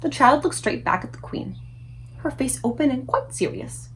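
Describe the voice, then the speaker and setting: A woman reading a children's book aloud as an expressive storyteller. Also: high voice, moderate pace, a little hiss or hum, poor audio.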